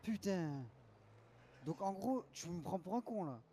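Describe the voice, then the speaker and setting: A man's voice: sits high, animated, unhurried, mellow. A man chatting casually in an online roleplay game, slangy and insistent, often repeating his questions. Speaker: streamer trolling players in an online roleplay game.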